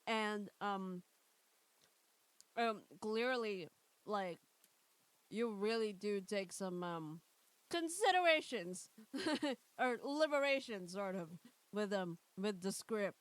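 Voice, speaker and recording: A woman hosting a casual visual novel stream, talking haltingly in a playful, teasing way. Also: medium pitch, expressive, no room sound. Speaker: host of the livestream.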